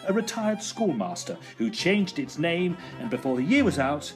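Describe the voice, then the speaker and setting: A man playing a fussy, curious Edwardian gentleman ghost hunter in a tongue-in-cheek period audio drama, speaking in a formal, exclamatory, old-fashioned way. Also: on the high side, sing-song, moderate pace, soft background music. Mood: measured storytelling.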